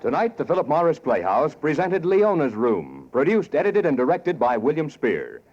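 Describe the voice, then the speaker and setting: A man's voice: fairly high pitch, very animated, moderate pace, soft background music. An American man making formal, scripted announcements in the style of 1940s network radio. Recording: dull 1940s radio recording.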